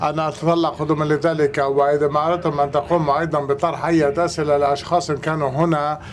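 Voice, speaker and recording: A man working as an interpreter, speaking in a hurried, hesitant way. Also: a little high, little pitch movement. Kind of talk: simultaneous interpreting.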